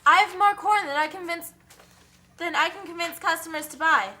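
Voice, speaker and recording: A woman's voice: extremely high pitch, moderate pace, bright, forceful tone. A woman voicing an eager, needy American corn exporter in a puppet show.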